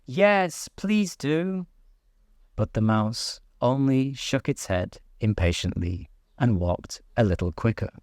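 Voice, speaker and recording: A man narrating a children's bedtime story in a soothing, measured, expressive way. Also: fairly low pitch, no echo, clean studio sound.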